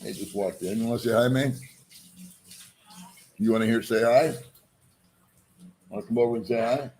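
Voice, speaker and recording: A man's voice: medium pitch, fairly even intonation, normal speed, darkish tone, slight background noise. A middle-aged man hosting a casual live stream, joking at his own expense and greeting viewers by name.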